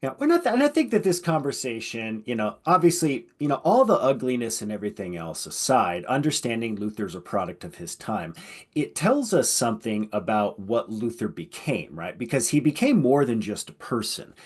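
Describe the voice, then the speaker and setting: Male podcast host, casual and chatty, with an expressive, slightly husky voice. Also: medium pitch, moderate pace. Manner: animated, chatty, frequent "you know" and "right?". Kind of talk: casual conversational discussion.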